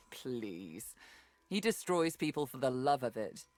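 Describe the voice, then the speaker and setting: Low-voiced, wry commanding woman guide. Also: sing-song, slow, dry recording, full character voice. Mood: stern. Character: wry, commanding demon-savvy woman guide, guarded and sardonic. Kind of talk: video game voice acting.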